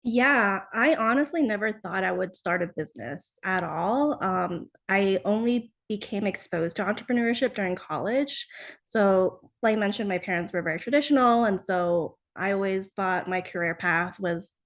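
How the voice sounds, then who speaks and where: A young woman from New Jersey, a startup founder, answering interview questions in a casual, chatty way with many fillers and soft laughs. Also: mid-range voice, normal speed. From New Jersey, United States.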